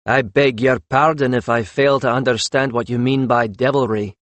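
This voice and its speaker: A man's voice: mid-range voice, steady tone, moderate pace, no room sound, close to an everyday voice. Casting: man as a mischievous foreign healer, thickly accented, feigning wide-eyed innocence and deference in a fantasy audiobook. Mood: mock-innocent. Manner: mock-deferential, ingenuous. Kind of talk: audiobook character voice.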